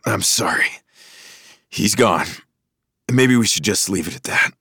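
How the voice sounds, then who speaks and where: A man's voice: lowish voice, a little slow, bright, forceful tone, breathy edge, no echo, clean studio sound, pitched above the performer's own voice, exaggerated voice. A man playing a wounded, stoic young American man with a terse, strained manner in an audiobook. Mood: regretful. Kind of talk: audiobook character voice.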